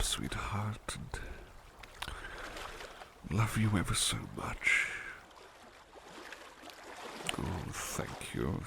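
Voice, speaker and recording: A man voicing a gentle, devoted butler in a soft ASMR roleplay, hushed, breathy, polite and hesitant, tender and apologetic. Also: on the low side, noisy background, full character voice. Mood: drowsy, tender.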